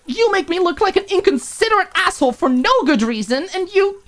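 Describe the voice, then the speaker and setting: A woman's voice: very high-pitched, lively intonation, fairly quick, a bit bright, pitched above the performer's own voice. A woman voicing a furious, hurt young roommate in an audiobook, firing off sarcastic, emphatic rhetorical questions. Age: young adult. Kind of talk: audiobook character acting.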